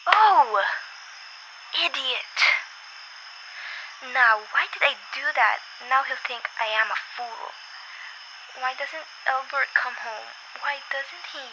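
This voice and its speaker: An anxious, self-pitying invalid wife in an amateur radio play acted by a young woman. Her voice sits high and she presses her demands fretfully. The recording has clear background noise. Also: expressive, takes it slowly, long pauses, heavily acted. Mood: exasperated. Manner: fretful, insistent. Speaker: student voice actor in a radio play. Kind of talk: amateur radio drama acting.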